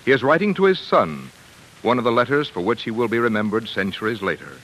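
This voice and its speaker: A man working as a 1940s radio announcer, reading scripted advertising narration in a polished, measured way. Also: mid-range voice, expressive, a bit bright, a little hiss or hum, poor audio.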